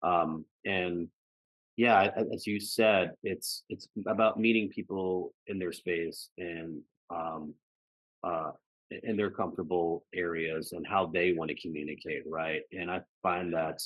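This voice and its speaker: An American man with a deep voice talks in a personal, reflective conversation as a podcast guest. The sound is dry, with little room on it. He often closes his phrases with small tags asking for agreement. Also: fairly even intonation, unhurried, dry recording, poor audio. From United States. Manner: frequently ends phrases seeking agreement with "right" and "you know".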